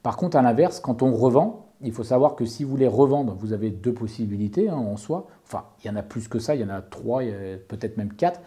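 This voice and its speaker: A man's voice: mid-range voice, lively intonation, fairly quick, few pauses, dark tone. A man giving informal, methodical explanations and advice as a property owner, rephrasing as he goes. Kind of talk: informal explanatory talk, answering an interviewer.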